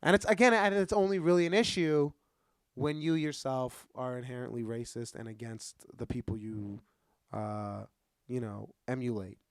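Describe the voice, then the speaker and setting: A man's voice: mid-range voice, moderate pace, dry recording, clean studio sound. Man hosting, probing and argumentative. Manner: argumentative, probing, picks words carefully. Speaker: podcast host and comedian. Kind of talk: casual podcast chat.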